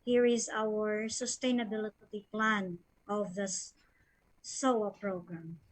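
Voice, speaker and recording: A woman with a Filipino accent giving a formal, measured presentation read from slides. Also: medium pitch, little pitch movement, low-quality recording. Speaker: education official presenting a plan.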